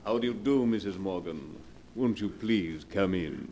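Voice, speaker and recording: A smooth blackmailer, fifty-ish, really deep, fake polite and menacing, old radio show with some noise. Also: male voice, expressive, darkish tone. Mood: suavely polite. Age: middle-aged. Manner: oily mock politeness, formal, unhurried. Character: large, coarse, bearded blackmailer of fifty, suavely menacing. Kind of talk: 1940s radio acting. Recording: noisy vintage 1940s radio recording.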